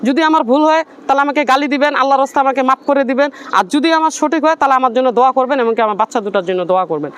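Fast-pleading high-voiced woman. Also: clear, resonant tone. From Bangladesh. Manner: rapid, earnest, pleading. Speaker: interviewee. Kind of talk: pleading street interview.

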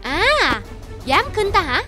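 A man voicing an arrogant, quick-tempered lion king of the jungle in a cartoon, blustering and demanding. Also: extremely high pitch, big pitch swings, sharp tone, soft background music, exaggerated voice. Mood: angry, threatening. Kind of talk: cartoon voice acting.

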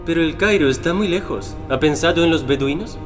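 An actor playing a terse, cautious Egyptian local guide in an audio drama. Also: male voice, fairly high pitch, brisk, faint music behind, close to an everyday voice.

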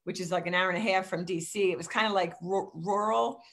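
A woman's voice: lowish voice, steady tone, fairly quick, a bit bright. A woman in her fifties with a Philadelphia accent, a real estate coach telling her story over a video call, chatty and full of ums. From Philadelphia area. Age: 50s. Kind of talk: casual video-call interview. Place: home room, indoors.

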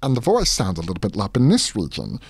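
A man's voice: on the low side, huge pitch swings, fast, vocal fry, husky, no room sound, clean studio sound, heavily acted. A man voicing an intelligent, adorable, bashful chameleon wizard for a fantasy audio drama. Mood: cute, demonstrative.

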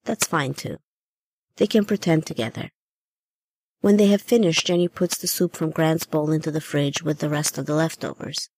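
A woman's voice: deep voice, normal speed, darkish tone, no room sound. A woman reading a short story as a scripted narration.

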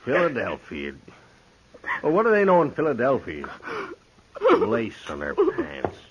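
An actor playing a gruff, loyal frontier bartender with blunt, folksy speech in a 1950s radio western. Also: male voice, mid-range voice, huge pitch swings, measured pace, noisy background. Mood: consoling. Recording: dull 1950s radio recording.

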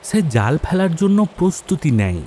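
A man's voice: a little high, very animated, moderate pace, background noise. A man narrating a scripted horror story in a dramatic storytelling style. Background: background music.

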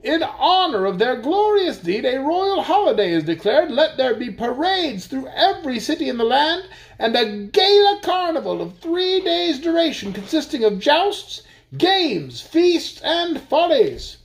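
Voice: high voice, big pitch swings, a little slow, gravelly, pitched above the performer's own voice, heavily acted, low-quality recording. A narrator voicing a pompous royal duke reading out a proclamation in a raised, declamatory voice. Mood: proclaiming.

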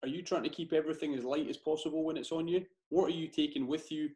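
A man in his thirties hosts from a small home studio, his voice a touch higher than most men's. He stumbles over the start of his questions with eager restarts. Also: steady tone, quick pace, dark tone. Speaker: podcast host. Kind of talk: interview questions on a video call. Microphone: headphones and studio mic on a stand.

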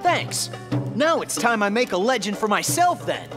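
Young male hedgehog hero for a game cutscene: cocky, breezy, animated pitch, loud music behind. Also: moderate pace. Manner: breezy, confident. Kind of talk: video game cutscene acting.

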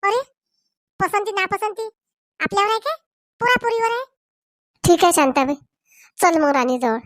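Woman acting as meddling village woman, high voice, rural Varhadi Marathi accent, exclamatory, comedy cartoon, dry recording. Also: moderate pace, fairly bright tone, no echo. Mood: persuasive, eager. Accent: rural Maharashtra, Varhadi Marathi. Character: chatty, meddling village women arranging a match.

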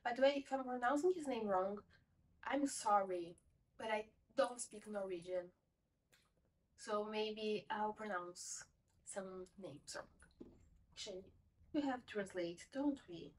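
A woman's voice: medium pitch, a little slow, pauses often, far from the mic, low-quality recording. A young woman whose first language is Portuguese, casually reviewing a book at home in a rambling, self-correcting way, from a camera set a little away. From Portuguese-speaking country. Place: home room with bookshelves.

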